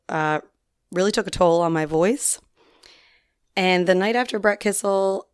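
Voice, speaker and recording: A chatty young woman hosts her solo podcast, her voice a little raspy and worn from singing. Her tone is low for a woman yet smooth and clear. The sound is close and dry, with no room around it. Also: no room sound. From Newfoundland, Canada. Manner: chatty, rambling, frequent ums and likes. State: slightly hoarse from singing. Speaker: podcast host. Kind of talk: casual solo podcast chat.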